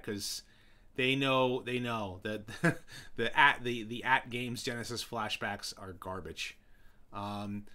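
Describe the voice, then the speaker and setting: A young man hosting a casual live stream, rambling with many fillers and restarts as he answers viewers, his voice getting hoarse. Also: mid-range voice, sharp tone. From New York City.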